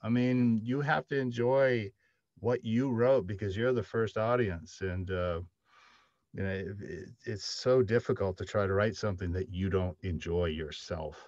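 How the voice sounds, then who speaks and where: A middle-aged man speaking haltingly and off the cuff as a panelist on a video call. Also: lowish voice, darkish tone. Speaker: panelist, audio drama writer. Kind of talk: unscripted panel discussion. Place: indoor room, video call. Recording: roomy, muffled video call audio.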